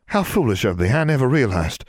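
A man's voice: mid-range voice, big pitch swings, brisk, no room sound, clean studio sound, voice pushed up. A man voicing a gracious older noblewoman who speaks kindly and formally. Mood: gentle. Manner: kind, formal.

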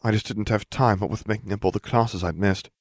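A man's voice: lowish voice, fairly even intonation, brisk, no room sound, studio quality. A man voicing an earnest, bookish twelve-year-old schoolgirl in a storybook reading. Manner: earnest, softly insistent.

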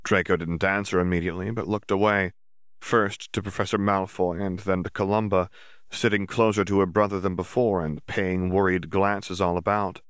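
A man reading a fantasy audiobook in an even, measured narration. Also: deep voice, clean tone, no echo, studio quality.

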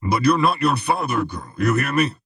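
A man voicing a grudging, threatening crime baron in an audiobook, with a gravelly, raspy, scornful delivery. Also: mid-range voice, very animated, moderate pace, no room sound, recorded from a distance, voice pushed up, heavily acted. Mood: scornful, warning. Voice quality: gravelly, rasping. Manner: forceful, scornful.

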